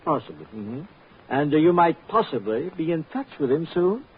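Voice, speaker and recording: Oily, animated radio crime boss. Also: male voice, a little high, moderate pace. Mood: veiled menace. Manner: affable menace, hesitant courtesy, echoes others' words. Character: oily, falsely genial nightclub boss crime villain. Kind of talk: old-time radio crime drama acting. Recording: tinny old radio broadcast, noisy.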